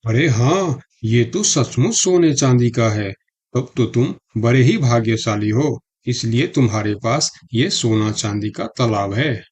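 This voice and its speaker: This is a simple-hearted donkey from a kids' cartoon, played by a man. The voice is husky and middling in pitch. He talks with wide-eyed earnestness and believes whatever he is told. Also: unhurried, a little mellow. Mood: amazed, eager. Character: gullible, innocent male donkey, eager and easily fooled. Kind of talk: Hindi children's cartoon story dialogue.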